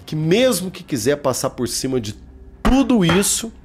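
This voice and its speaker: A man's voice: fairly high pitch, very animated, normal speed. A man in his thirties with a Brazilian Portuguese accent, giving informal, emphatic political commentary straight to his viewers. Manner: colloquial, emphatic, addressing viewers directly. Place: small indoor room, home studio. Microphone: desk microphone.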